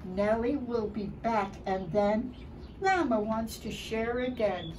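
An older woman reading a children's picture book aloud in an expressive, deliberate way, dramatizing it for young children. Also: a little high. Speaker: early childhood storybook reader. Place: outdoors by a building wall and door. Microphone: camera a short distance away. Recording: distant mic, clear background noise outdoors.

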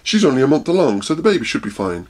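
A man voicing a middle-aged doctor who quickly reassures with a soothing bedside manner. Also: medium pitch, sing-song, a little mellow, pitched above the performer's own voice. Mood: reassuring.

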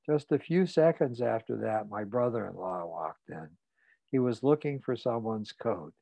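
A man's voice: on the low side, lively intonation, vocal fry, dark tone, no echo, voice pushed down, heavily acted. A man reading an audiobook, voicing a frightened, ashamed man who speaks in a muted voice. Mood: shamed. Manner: muted, halting, confessional. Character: ashamed, frightened middle-aged man confessing.

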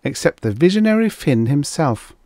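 A man voicing a defiant, scheming primitive woman in a storybook, with sharp, scornful retorts. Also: a little high, big pitch swings, moderate pace, no room sound, studio quality, pitched above the performer's own voice. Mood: indignant.

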